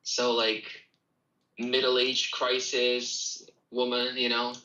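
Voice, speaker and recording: A man's voice: medium pitch, monotone, very slow, sharp tone, reverberant, dull audio. A young man from Russia with a Russian accent, co-hosting a casual chat and repeating his phrases as he talks to viewers. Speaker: co-host.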